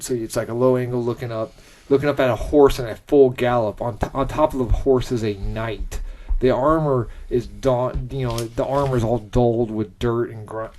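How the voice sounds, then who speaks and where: A man co-hosting a podcast, informally reading out a pitch amid banter and often checking in with "right?". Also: darkish tone. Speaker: podcast co-host pitching a movie trailer. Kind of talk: casual group banter, reading out a scripted pitch.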